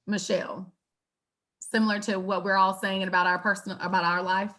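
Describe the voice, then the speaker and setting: A woman's voice: medium pitch, little pitch movement, fairly quick, sharp tone. A woman leading a book club discussion on a video call, candid and laughing easily. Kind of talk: casual group discussion.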